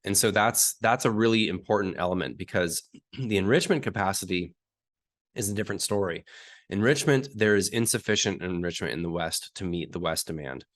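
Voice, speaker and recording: A man with a hoarse, raspy voice from being sick, explaining technical detail step by step as an interview guest and often clearing his throat. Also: low voice, a little fast, a bit bright. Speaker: uranium market analyst guest.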